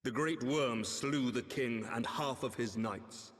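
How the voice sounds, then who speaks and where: Fantasy game noble son, proud knight, mid-pitched, formal and intense, long reverb. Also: male voice, slow, fairly bright tone. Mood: grave. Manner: formal, intense, archaic speech. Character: proud, earnest adult son, a noble knight challenging his father. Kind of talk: dramatic fantasy game cutscene acting.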